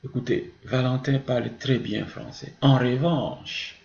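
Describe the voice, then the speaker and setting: A man's voice: mid-range voice, fairly even intonation, a little slow, creaky, a little mellow, a little hiss or hum. A man teaching a grammar lesson, explaining didactically with examples and answering his own questions. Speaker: French teacher. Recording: muffled, roomy, echoing sound.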